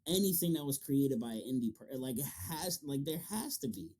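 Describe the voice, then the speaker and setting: Male interview host, relaxed dark timbre, emphatic and slangy, curses freely in loose conversation. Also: medium pitch, fairly quick. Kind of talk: casual, profanity-heavy podcast chat.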